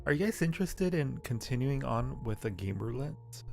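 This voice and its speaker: Male live streamer, casual and chatty, mid-range voice with some fry, music underneath. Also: medium pitch, expressive, moderate pace. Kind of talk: casual live chat while gaming. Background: game music.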